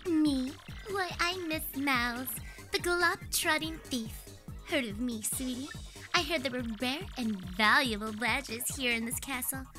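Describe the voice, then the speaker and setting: A woman's voice: high-pitched, a little slow, over music. A woman voicing a sly, flirtatious cartoon mouse thief in a fan-acted video game, with coy, theatrical teasing. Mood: coy, curious.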